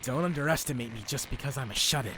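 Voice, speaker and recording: A man's voice: mid-range voice, lively intonation, moderate pace, slight background noise, close to an everyday voice, poor audio. A man voicing a cocky, hot-headed young shut-in in an anime fan dub, with a brash, taunting, theatrical delivery. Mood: furious, boastful.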